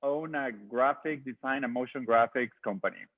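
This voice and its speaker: A man's voice: mid-range voice, moderate pace, dry recording. A man from Peru, chair of a sister city committee, introducing himself on a video call with frequent hesitations. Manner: hesitant, many fillers. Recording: tinny phone-like dry audio.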